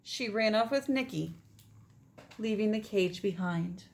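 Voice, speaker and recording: Lady teacher reading a kids book out loud, dramatic, medium pitch, goes whispery sometimes. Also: measured pace, creaky. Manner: dramatic, often drops to whispers. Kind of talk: expressive children's storybook read-aloud.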